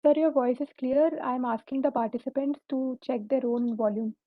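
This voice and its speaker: Organizer lady on a tinny call line, dry sound, polite n quick. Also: sits high, no echo. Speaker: session organizer. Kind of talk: brief reassurance on a video call.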